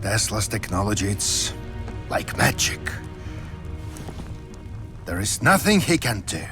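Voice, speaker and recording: An actor playing a ruthless, cynical military spymaster in a video game, with a deliberate, menacing and theatrical delivery. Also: male voice, on the low side, very animated, music in the background. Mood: cynical, brooding. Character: ruthless scheming male military spymaster, cynical about war. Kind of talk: dramatic video game cutscene acting.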